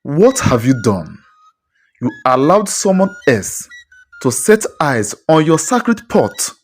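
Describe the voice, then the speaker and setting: Woman voicing a slow, grim old shrine priest. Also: sits low, big pitch swings, slightly rough, soft background music, pitched below the performer's own voice, heavily acted. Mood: grim, menacing. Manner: slow, commanding, ominous. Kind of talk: storybook character voice.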